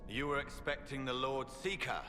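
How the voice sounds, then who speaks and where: An actor playing a sinister, mocking knight captain in a fantasy game, with theatrical menace. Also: male voice, medium pitch, big-room sound, over music, close to an everyday voice. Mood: menacing, mocking. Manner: slow, theatrical mockery. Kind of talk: dramatic fantasy game acting.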